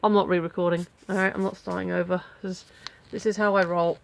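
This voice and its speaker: A woman's voice: on the low side, level tone, quick pace, creaky, low-quality recording. A woman with a stuffy nose from a cold, rambling casually with many fillers in a daily video diary from home. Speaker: vlogger.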